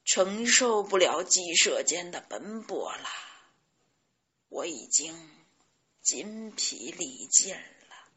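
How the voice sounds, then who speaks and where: A woman voicing a kind, weary old grandfather rooster storyteller, slow and sighing, with a melancholy air. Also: mid-range voice, big pitch swings, pushed and bright, dull audio, slight background noise, exaggerated voice. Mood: weary, sorrowful. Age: older. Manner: slow, sighing, chanting delivery.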